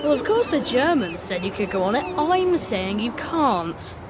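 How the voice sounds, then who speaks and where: Exasperated young older sibling, high, lively, insistent, game scene. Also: female voice, a little slow. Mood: scolding. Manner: insistent, flustered. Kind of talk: video game voice acting. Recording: clear background noise.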